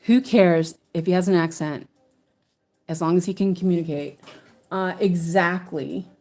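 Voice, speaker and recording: A woman's low, mellow voice drifts through an easygoing chat as she stitches. She talks slowly and wanders off mid-thought, often restarting her sentences. It sounds like a relaxed, homespun craft video. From English-speaking country, living in Sweden. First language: English. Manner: rambling, chatty, restarts often. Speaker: stitching channel host. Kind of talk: casual chat while stitching.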